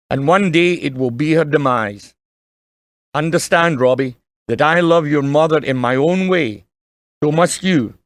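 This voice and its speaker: A man playing a kindly, earnest dying father in an audio drama, gently and solemnly advising his young son. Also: a little high, no echo, natural voice.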